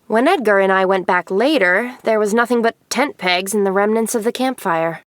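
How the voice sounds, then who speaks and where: A woman playing a composed, determined wife on the run in a noir audio drama, speaking in a measured, guarded way. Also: mid-range voice, no room sound, clean studio sound, natural voice.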